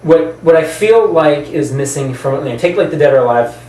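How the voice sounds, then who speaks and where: A man with a slightly high voice talks as a podcast co-host. He argues casually, circling back to rephrase his points. The sound is roomy, picked up away from the mic. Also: animated, a little fast, darkish tone. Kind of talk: casual opinionated discussion. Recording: roomy, distant mic, background noise.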